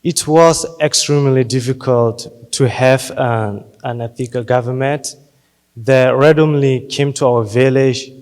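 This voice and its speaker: A young man from Thailand giving his graduation speech, reading it slowly and carefully. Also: medium pitch, steady tone, darkish tone, in a large hall. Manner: slow, careful delivery, reading a prepared speech. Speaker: graduating student.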